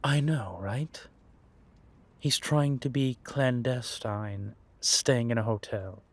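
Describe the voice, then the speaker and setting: A man playing a world-weary, wry and menacing vampire intelligence operative in an audio roleplay, confiding in a measured, drawn-out way. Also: mid-range voice. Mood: wry, amused. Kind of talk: roleplay audio drama monologue addressed to a listener.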